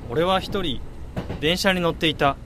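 A man acts out a wistful, introspective radio drama protagonist with a slightly high male voice. He speaks in a subdued, reflective way while traffic and outdoor ambience rumble clearly behind him. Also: normal speed, lightly acted. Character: wistful grown man recalling his childhood dream letter. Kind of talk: radio drama monologue and narration. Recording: noisy vehicle ambience behind. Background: vehicle and outdoor ambience.

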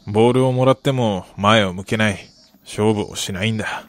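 An actor playing a middle-aged, wistful gambling soccer fan in a radio drama, polite and measured with a rueful, quietly menacing edge. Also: male voice, fairly low pitch, no echo, natural voice. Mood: frustrated. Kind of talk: Japanese radio drama acting.

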